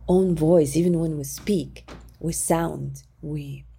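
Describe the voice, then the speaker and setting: Low gravelly woman voice, dark and mellow, speaks softly in halting non-native english. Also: a little hiss or hum. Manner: calm and gentle, halting with many fillers. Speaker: singing teacher. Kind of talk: conversational interview.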